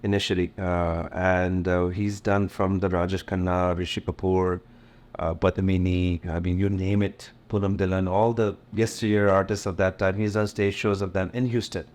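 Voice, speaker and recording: A man with a lowish voice talks in a relaxed, unhurried way, sliding between American English and Hindi picked up from films. His first language is Gujarati, and his talk is loose and full of fillers. He sounds middle-aged. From USA, born in India. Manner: relaxed, mixes English with Hindi, frequent fillers and light laughs. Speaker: event promoter, interview guest. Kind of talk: casual interview conversation.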